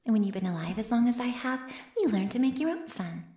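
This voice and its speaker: A woman's voice: fairly high pitch, expressive, brisk, dark tone, close to an everyday voice. A woman playing an ancient, smugly teasing female vampire in an intimate ASMR roleplay, murmuring coyly and often slipping into a whisper. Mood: playful. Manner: coy, breathy murmurs that often drop to a whisper. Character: ancient predatory female vampire, playfully menacing, smugly teasing her captive. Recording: roomy cave-like echo.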